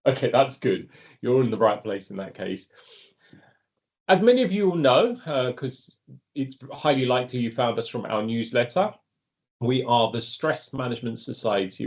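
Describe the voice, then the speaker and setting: A man hosting a live online webinar, speaking in an earnest, measured way with lively rises in pitch. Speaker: webinar host, organization founder.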